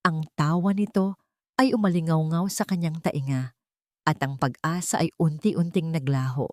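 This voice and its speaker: Low, dry-voiced Filipino woman narrator. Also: steady tone, moderate pace, a little mellow, dry recording. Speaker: story narrator. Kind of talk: dramatic scripted narration.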